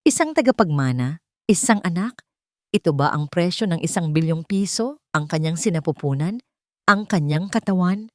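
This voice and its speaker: A woman with a slightly low voice narrates a scripted romantic drama. The sound is dry and close, with the measured phrasing of an audiobook storyteller. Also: expressive, no echo.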